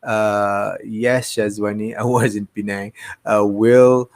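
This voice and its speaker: A man with a Midwestern American accent, hosting a livestream in a relaxed, chatty way and greeting viewers one by one. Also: fairly low pitch, takes it slowly. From Malaysia. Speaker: livestream host. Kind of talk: casual livestream chat. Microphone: desk mic on a streaming setup.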